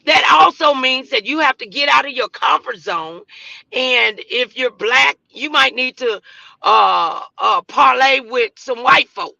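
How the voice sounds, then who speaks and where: Very high-pitched, bright-voiced man preaching motivation. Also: expressive, unhurried, creaky, no echo, lo-fi sound. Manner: exhorting, repeats phrases for emphasis, rhetorical questions. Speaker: motivational show host.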